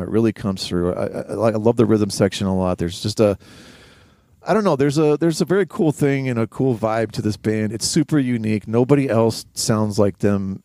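A man co-hosting a podcast, giving casual album commentary in a halting but enthusiastic manner. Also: lowish voice, mellow, no room sound, studio quality. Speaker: podcast co-host.

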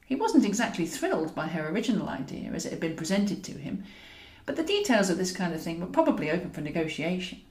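A woman reading a comic romantic novel aloud in an expressive storytelling style, as the author of the book. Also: on the low side, fast, hardly any pauses, reverberant. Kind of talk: expressive audiobook narration of comic romantic fiction.

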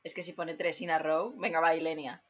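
A woman's voice: sits low, fairly even intonation, a little fast, muffled recording. A woman co-hosting a casual podcast from her computer, laid-back and wry. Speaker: podcast co-host. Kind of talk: casual chat. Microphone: computer, remote call.

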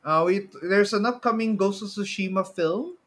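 Higher-voiced Filipino man, rambling casually. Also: normal speed, fairly bright tone. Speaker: podcast co-host. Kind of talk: casual chat about films and games.

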